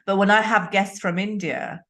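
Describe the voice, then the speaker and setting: A woman in her late fifties hosts a podcast, with a mid-range voice. She speaks in an upbeat, emphatic way, her pitch rising when she presses a point. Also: steady tone, normal speed, bright, forceful tone. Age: late 50s. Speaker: podcast host. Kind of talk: interview conversation.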